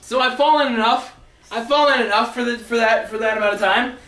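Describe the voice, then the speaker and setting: A male storyteller with a notably high voice, heard from a distance in an echoey room. He rambles through comic tales with excitable, dramatic build-ups. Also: a little fast. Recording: roomy echo, far from the mic.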